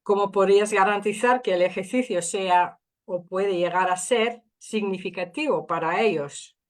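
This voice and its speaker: A woman's voice: medium pitch, moderate pace. A middle-aged woman with a Dutch accent, Spanish not her first language, giving an academic talk carefully with frequent fillers and restarts. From Netherlands. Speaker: invited speaker.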